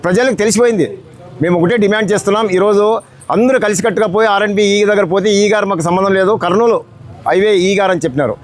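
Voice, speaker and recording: A man's voice: high-pitched, very fast. A man with a Rayalaseema Telugu accent making a direct, demanding protest statement as an activist. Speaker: activist speaker.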